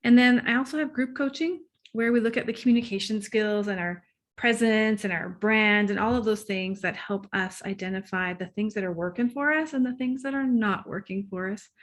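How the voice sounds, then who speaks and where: A woman relationship coach chatting as an interview guest, her voice sitting at an ordinary middle pitch. She gets excited and laughs easily. She sometimes loses her thread mid-answer. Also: normal speed. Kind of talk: casual interview talk.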